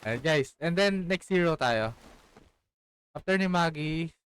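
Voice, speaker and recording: A man's voice: fairly high pitch, expressive, slow pace. A Filipino-accented man hosting a gaming video with casual commentary, with faint noise and clicks in the recording.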